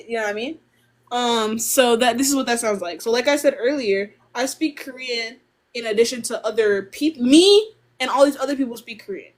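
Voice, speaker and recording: A young woman casually explaining Korean grammar on a live stream and slowly sounding out Korean phrases. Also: fairly high pitch.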